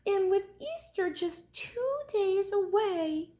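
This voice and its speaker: A woman's voice: very high-pitched, animated, slow pace, dark tone, pitched above the performer's own voice, exaggerated voice. A woman voicing an anxious, tearful mother rabbit in a children's storybook, wailing and lamenting. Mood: worried. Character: anxious weepy mother rabbit, easily upset.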